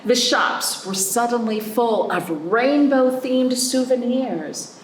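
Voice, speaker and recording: A woman hosting a children's storytime, reading a picture book aloud expressively with dramatic pauses and stretched words. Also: on the high side. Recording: roomy echo, faint background noise.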